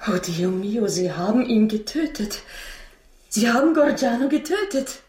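Distraught wife in an echoey room. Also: female voice, a little high, lively intonation, a little slow, darkish tone. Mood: shocked, agitated. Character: distraught Italian wife, fearful and breathless with shock. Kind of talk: radio drama acting. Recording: roomy, echoing studio radio play.

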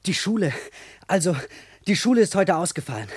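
An actor playing a small, proud schoolboy prankster in a German radio play, eager and boastful. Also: male voice, sits high, big pitch swings, a little fast, slightly rough, dry recording, heavily acted. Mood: excited, proud. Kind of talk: German radio drama acting.

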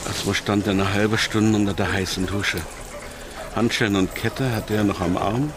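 An actor as the narrator of a German radio crime drama, reading scripted narration in a sober, tense way. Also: male voice, lowish voice, fairly even intonation, moderate pace, lightly acted.

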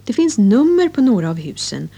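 Woman narrating a kids language lesson, expressive melody, soft dark husky tone. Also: fairly high pitch. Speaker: presenter of a children's English lesson. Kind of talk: scripted narration and instruction.

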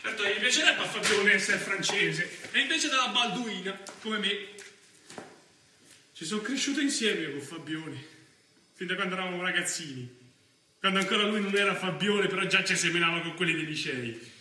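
An actor playing a crude, restless young neo-fascist with a Roman accent in a theatrical monologue, foul-mouthed and slangy. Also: male voice, high-pitched, expressive, moderate pace, bright, forceful tone, heavily acted. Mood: restless, confiding. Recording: echoey room from far off, faint noise.

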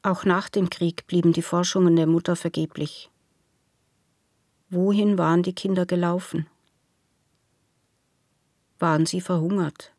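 A woman's voice: on the low side, a little mellow, dry recording, clean studio sound. A woman reading a scripted literary narration in a sober, factual storytelling manner.

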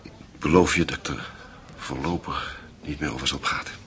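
A man playing a middle-aged, passionate and frustrated lover in a radio drama, speaking in an earnest, halting, intimate way. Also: very deep voice, fairly even intonation, fairly bright tone, a little hiss or hum. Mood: resigned. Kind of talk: naturalistic radio drama acting.

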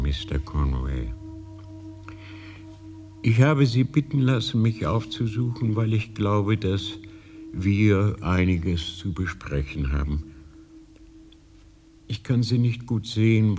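Ancient male lama, long reverb, measured slow delivery, low soft voice, faintly melancholy, radio play. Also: expressive, soft background music. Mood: gentle, welcoming. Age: older. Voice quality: soothingly soft. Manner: measured, slightly melancholic, courteous. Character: ancient, frail High Lama, gentle old man. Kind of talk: radio drama acting.

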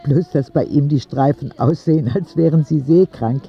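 A man's voice: a little high, moderate pace, vocal fry, slightly rough, lo-fi sound. A man playing a frank, cheeky ten-year-old boy who tells his story in candid, wry audio drama narration, in standard German. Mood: cheeky, matter-of-fact.

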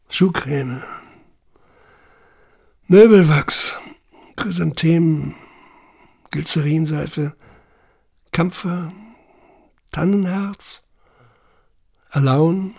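An actor playing a haunted young prisoner in a deliberate, halting radio drama monologue. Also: male voice, a little high, gravelly, a little mellow, breathy edge, exaggerated voice. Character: introspective young male prisoner, haunted and self-persuading.

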